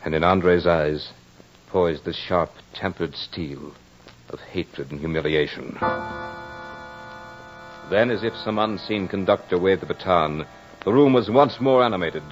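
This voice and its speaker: An actor playing a brooding aristocratic courtier in an old radio drama, telling his tale in a measured, florid, dramatic way. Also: sits low, soft background music. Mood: intense, bitter. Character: brooding aristocratic French courtier recounting a fatal duel. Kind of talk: 1940s radio drama monologue. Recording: muffled old radio recording.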